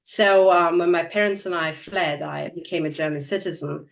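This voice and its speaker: A woman's voice: lowish voice, steady tone, moderate pace, gravelly, distant mic. Earnest woman heard from a bit away. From Transylvania, Romania. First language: Hungarian. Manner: earnest, repeats words when starting sentences. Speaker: host. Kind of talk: casual interview chat.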